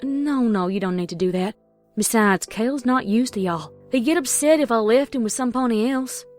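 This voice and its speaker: A woman's voice: on the high side, normal speed, darkish tone, faint music behind, close to an everyday voice. A woman with an American Southern accent voicing a proud, protective young farm mare in a cartoon pony audio drama. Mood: weary.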